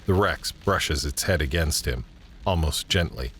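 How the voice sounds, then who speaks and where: A man narrating a scripted audio drama in a measured, descriptive way. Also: rumbling low, a bit bright. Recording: background ambience and noise.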